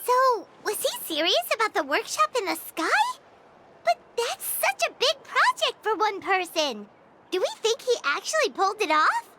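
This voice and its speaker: A tiny, childlike fairy companion for a video game. The voice is extremely high and squeaky, bouncing all over in pitch with curious excitement. Clear noise sits behind it. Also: female voice, normal speed. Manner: inquisitive, speaks of herself in third person.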